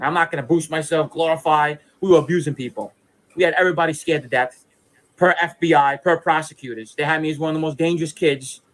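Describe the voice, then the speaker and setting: A man's voice: on the high side, fairly bright tone, low-quality recording. A man, delivering a defiant, boastful, profane monologue. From New York City. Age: 30s. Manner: blunt, boastful, insistent, profane. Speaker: former mobster making a video statement. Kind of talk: defiant personal monologue to camera.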